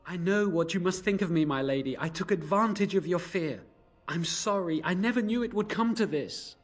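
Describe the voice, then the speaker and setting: A man's voice: high voice, normal speed. A man playing a guilty, apologetic mage in a fantasy video game, earnest and pleading. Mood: remorseful.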